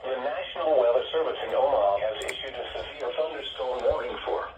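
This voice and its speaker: A man's voice: medium pitch, fast. A synthetic man's voice reading formal scripted emergency bulletins over a weather radio in an impersonal, list-like way. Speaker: weather radio alert announcer. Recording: dull weather radio, loud chatter over it. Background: streamer talking over the alert.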